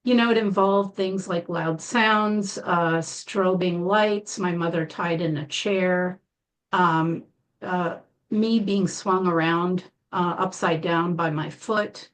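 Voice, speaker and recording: A woman speaks as an interview guest, her voice on the low side. She talks in a calm, measured way, often pausing with fillers as she works through hard memories. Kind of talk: interview, personal account.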